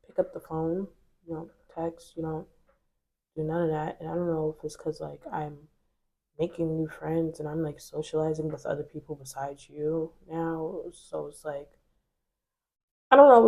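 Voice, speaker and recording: A woman's voice: lowish voice, mellow, low-quality recording. A woman in her twenties, stuffy from a cold, telling a personal story to her viewers in a chatty, candid way full of fillers. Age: 20s. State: congested from a cold. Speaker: vlogger. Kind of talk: casual storytelling to camera.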